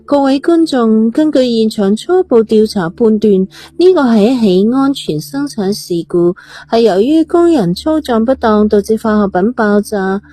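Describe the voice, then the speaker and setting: A woman voicing a formal, measured television news anchor reporting in an audio story. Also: a little high, lively intonation, mellow, no echo, pitched above the performer's own voice. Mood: formal, serious.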